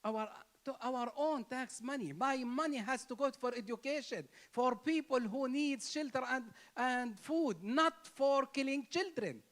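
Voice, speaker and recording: A man's voice: very high-pitched, a little slow, few pauses, a bit bright. Impassioned man with a Palestinian Arabic accent. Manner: emphatic, repetitive, rising to stress key words. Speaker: speaker at an interfaith gathering. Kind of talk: impassioned speech.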